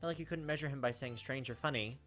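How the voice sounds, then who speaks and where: A woman's voice: very deep voice, quick pace, a bit bright. A woman reading a visual novel aloud as gameplay host, with wry, sardonic casual commentary. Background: game music.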